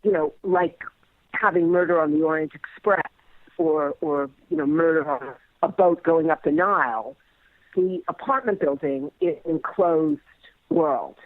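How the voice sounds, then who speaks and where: Highish-voiced man, dull dry recording. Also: very animated, a little slow, gravelly, no echo. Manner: hesitant, repeats words while searching. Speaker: playwright interviewee. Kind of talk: reflective interview talk.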